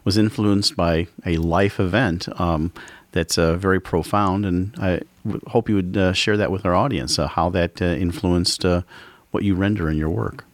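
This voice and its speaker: Deep-voiced radio host, dry studio. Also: male voice, fairly even intonation, normal speed, no room sound. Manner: hesitant, many fillers. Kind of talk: radio interview.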